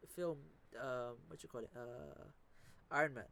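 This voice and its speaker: A man's voice: medium pitch, normal speed, gravelly, fairly bright tone, clear, resonant tone. Young man, from the philippines, casual chat, slangy, swears, repeats himself.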